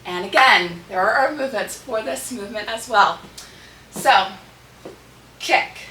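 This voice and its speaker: Slightly hoarse woman in an echoey room. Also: a little high, a little slow, pushed and bright. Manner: clipped rhythmic counting and cueing of moves. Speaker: dance tutorial host. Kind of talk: step-by-step dance instruction with counts. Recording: echoey room, distant mic, background noise.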